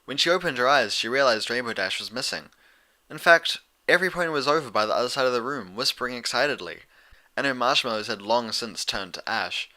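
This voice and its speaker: A man's voice: mid-range voice, sharp tone. A man reading scripted story narration as the narrator. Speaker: narrator and reader.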